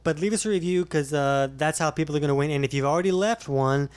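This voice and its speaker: A man's voice: a little high, quick pace, clean tone. Easygoing man explaining fitness advice. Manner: explanatory, easygoing, frequent fillers. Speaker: podcast co-host, fitness coach. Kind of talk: casual podcast chat.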